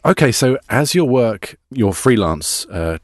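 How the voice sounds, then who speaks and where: A man's voice: mid-range voice, very animated, a little slow, no room sound, clean studio sound. A man hosting a podcast, interviewing his guest with plenty of encouraging short replies. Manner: encouraging, frequent short affirmations and laughs. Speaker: podcast host.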